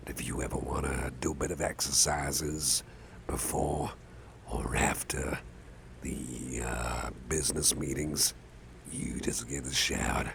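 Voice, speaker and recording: Man acting very deep, gravelly, caring boss. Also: fairly even intonation, slow, a little hiss or hum, exaggerated voice. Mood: friendly, musing. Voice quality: deep gravelly murmur, often breathy. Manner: gentle, reassuring, rambling with soft hesitations. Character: big, gruff, caring male boss, protective and friendly. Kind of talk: ASMR personal-attention roleplay.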